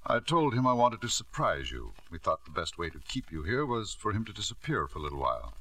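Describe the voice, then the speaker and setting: A middle-aged knight and husband in a vintage radio play. His voice is bright and forceful, with lively swings of pitch. He is smooth, controlling and self-justifying, speaking in clipped theatrical phrases. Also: fairly quick, heavily acted. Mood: cool, challenging. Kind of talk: 1950s radio drama acting with first-person narration. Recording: vintage 1950s radio broadcast.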